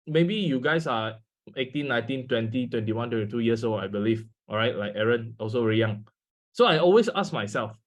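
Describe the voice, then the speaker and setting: A man in his twenties with a Malaysian English accent, a native Mandarin speaker, giving a guest talk over a video call with frequent fillers. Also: medium pitch. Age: 20s. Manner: hesitant, many fillers, speaks to an audience. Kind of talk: online seminar talk.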